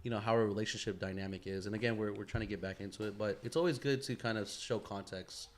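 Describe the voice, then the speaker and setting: Quick-talking man chatting casually. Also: fairly low pitch, fairly even intonation. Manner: rambling, slangy, frequent swearing. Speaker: podcast host. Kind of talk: casual chat among friends.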